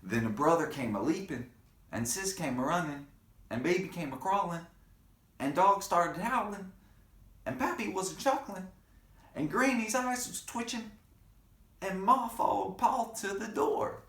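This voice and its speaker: A man's voice: high-pitched, animated, measured pace, pauses often, a bit bright. A man reading a picture book aloud to children in a playful, dramatic way, with chatty asides to the kids. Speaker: read-aloud storyteller for children. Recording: roomy, echoing room sound.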